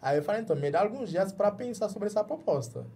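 Jamaican man speaking halting Portuguese. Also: high voice, lively intonation, creaky, dark tone, slight background noise. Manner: halting, restarts words while searching in Portuguese. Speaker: guest, journalist and author. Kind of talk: casual interview talk. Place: small studio with foam-padded walls.

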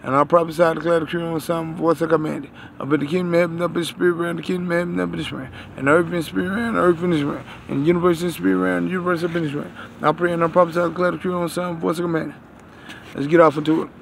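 A man in his thirties speaks to his viewers like a self-styled prophet. His voice sits a little high and has a strong gravelly creak. He rambles haltingly and circles back over the same phrases, on an outdoor phone recording with faint vehicle noise. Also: flat delivery. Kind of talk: rambling religious vlog to viewers. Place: outdoors beside a building. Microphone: phone at arm's length.

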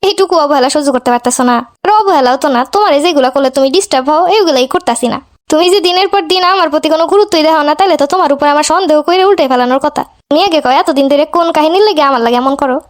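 Cartoon wife woman actor: hurt, defiant, sarcastic, Bangladeshi Bengali accent, high-pitched with breakneck delivery. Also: racing, lightly acted. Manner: scolding, sarcastic. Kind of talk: amateur cartoon dialogue.

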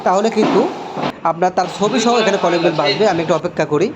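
A man's voice: high-pitched, fast, heavy background noise. A man with a Bangladeshi accent giving a hands-on tutorial as an electrician, explaining step by step and repeating himself as he points things out.

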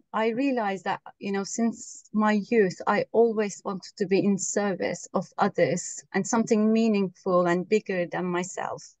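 A woman's voice: mid-range voice, no room sound. Earnest woman from Turkey, dry sound. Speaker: program co-leader. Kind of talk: webinar self-introduction. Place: wood-panelled room, indoors. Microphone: video call.

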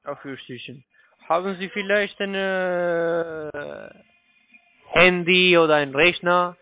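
A man with a foreign accent in non-native German making a polite, halting telephone sales pitch over a phone line. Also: fairly even intonation. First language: not German. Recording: tinny, narrow phone line.

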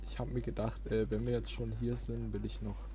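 A man's voice: fairly low pitch, level tone, a little fast, mellow, a little hiss or hum. A man giving casual, informal let's play commentary, often muttering to himself. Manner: informal, muttering self-talk. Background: game music.

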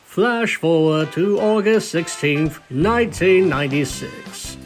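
A man's voice: fairly high pitch, lively intonation, takes it slowly. A man reading scripted storybook-style narration of a cartoon story in a theatrical, presenting manner. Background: music behind the voice.

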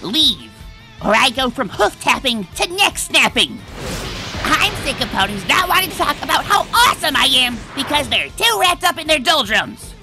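A woman's voice: high voice, very animated, normal speed, music in the background. A woman voicing a brash, cocky young female pony hero in a comic dub, boastful, impatient and dramatic. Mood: defiant, angry.

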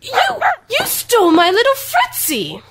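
Shrieking-high furious lady. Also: huge pitch swings, a little slow, noisy background, exaggerated voice. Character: furious dog-owning lady. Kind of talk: audio drama acting.

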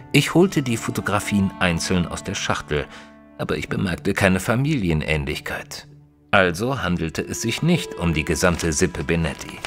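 An actor playing an earnest detective's companion, narrating an audio drama in a measured, literary way. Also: male voice, low-pitched.

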